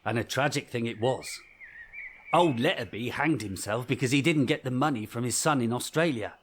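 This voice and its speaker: A man's voice: medium pitch, moderate pace, slight background noise, lightly acted. An actor playing a kindly, gossipy English village postman in a vintage radio drama, with a rustic, folksy turn of speech. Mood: mournful. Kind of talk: 1940s radio drama acting.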